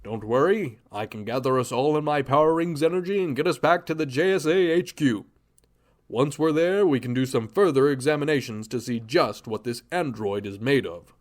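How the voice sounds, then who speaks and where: A man's voice: mid-range voice, animated, normal speed, close to an everyday voice. A man playing a confident, righteous superhero leader in a radio drama, with a grand declamatory delivery. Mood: concerned, reassuring.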